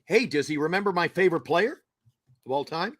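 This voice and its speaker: A man's voice: fairly high pitch, lively intonation, fairly quick, fairly bright tone, dry recording. A man co-hosting a casual sports talk stream, with a wry, questioning way of talking and dry humor.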